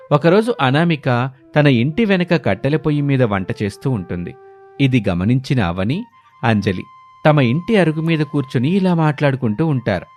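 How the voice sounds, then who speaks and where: A man's voice: medium pitch, darkish tone. Man narrating a scripted story over music.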